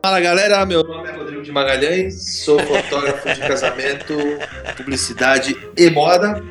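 A man's voice: fairly high pitch, normal speed, reverberant, over music. A man introducing himself as a wedding and fashion photographer, playful and upbeat. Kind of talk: casual self-introduction.

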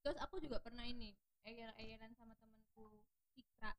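A woman's voice: fairly high pitch, fairly even intonation, brisk, no echo, studio quality. A young woman chiming in with short remarks in a casual group chat. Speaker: chat participant.

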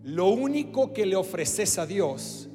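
A man from Australia with an Australian English accent, preaching with a strikingly high voice. He drives each point home with emphatic repetition and direct appeals to his listeners, in a church hall with long, ringing reverb and faint music beneath. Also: very animated, takes it slowly. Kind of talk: sermon.

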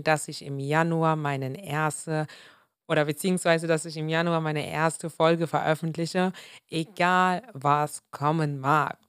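Slightly hoarse young woman, earnest. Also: sits low, dry recording, clean studio sound. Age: 20s. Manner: earnest, emphatic, mixes in English words. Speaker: podcast host. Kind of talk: motivational solo podcast.

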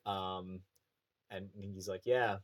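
Low-voiced Canadian man, rambling chat. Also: fairly even intonation, drawn-out words. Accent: Canadian prairie. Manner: rambling, many fillers and restarts. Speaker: teacher. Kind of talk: casual podcast chat.

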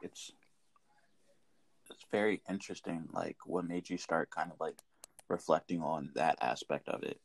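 A man in his late twenties hosts a relaxed interview with a very low, gravelly voice that moves lively up and down. He asks friendly questions and agrees often, recorded dry and close with no room sound. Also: normal speed, long pauses, no echo. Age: 20s. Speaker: interview host. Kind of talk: casual video chat interview.

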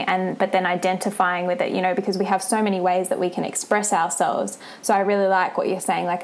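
A woman hosting a podcast, speaking reflectively in an interview conversation. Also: lowish voice.

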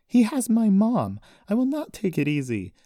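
A man's voice: high voice, wildly swooping pitch, moderate pace, mellow, dry recording, clean studio sound, pitched above the performer's own voice. A man voicing a furious, frantic young woman in an audiobook story, accusing and stubborn.

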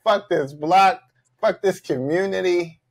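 A man's voice: high voice, a little slow. A man hosting a comedy podcast, talking in a loose, joking, slangy way. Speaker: podcast host. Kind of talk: casual comedic chat.

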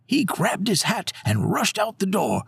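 A man narrating a scripted children's story in an expressive, dramatic way. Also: sits high, a little slow, vocal fry, husky, no echo.

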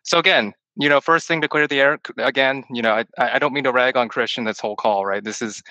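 Long-winded Texas-born man, bright voice. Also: mid-range voice, quick pace, no room sound. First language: Chinese. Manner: long-winded, rambling, with many restarts. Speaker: podcast guest, returned missionary. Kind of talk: casual chat over a call. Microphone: call-in line.

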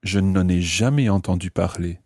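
Low, husky male language teacher. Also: a little fast, a little mellow, no echo, clean studio sound. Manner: methodical, spells out and repeats phrases. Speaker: language teacher and podcast host. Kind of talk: explanatory grammar lesson.

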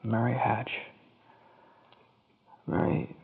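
An actor playing an earnest, excitable small-town family man who stammers and exclaims in folksy fashion in vintage radio drama. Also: medium pitch, extremely slow, vocal fry, heavily acted. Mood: despondent. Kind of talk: 1940s radio drama acting. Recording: reverberant, thin phone-like vintage radio recording.

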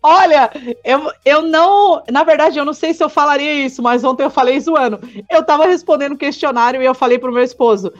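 Guest: high, bubbly São woman in her twenties, slangy and laughing, on slightly muffled audio with light music. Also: a little fast. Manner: excitable, laughing often, slangy. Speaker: podcast guest, returned missionary. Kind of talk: casual interview chat.

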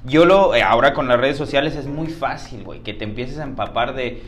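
A man's voice: medium pitch, fairly quick, faint music behind. A man hosting a podcast, talking in a loose, rambling way with many fillers and slang. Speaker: podcast host. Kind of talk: casual conversation.

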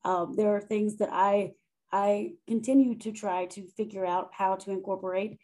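A woman with a loud voice who talks through her teaching practice in a conversational interview, often pausing, repeating words and checking in with "right?". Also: mid-range voice, fairly even intonation. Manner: thoughtful, self-correcting, frequent "right?" and "um". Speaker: faculty member and monograph author.

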